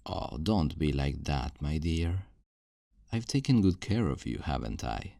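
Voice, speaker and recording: Man playing a deep-voiced, possessive kidnapper. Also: expressive, vocal fry, dark tone, no echo, studio quality, heavily acted. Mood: condescending tenderness. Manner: slow, condescending, coaxing. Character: possessive, self-righteous male kidnapper, menacingly tender. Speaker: audio roleplay performer. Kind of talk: ASMR roleplay monologue.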